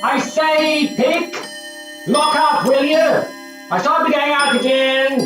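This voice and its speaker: An actor playing an overbearing, impatient, scornful professor in a theatrical play reading, blustering and muttering exclamations. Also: male voice, extremely high pitch, fairly even intonation, slow pace, over music. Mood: brisk, offhand. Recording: roomy echo with faint hiss.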